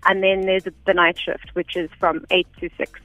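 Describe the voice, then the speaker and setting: A woman's voice: on the low side, little pitch movement, normal speed, bright, forceful tone, no echo, lo-fi sound. A South African woman explaining things step by step in a conversational, unpretentious way as an interview guest. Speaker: radio interview guest, air traffic information officer. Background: faint background music.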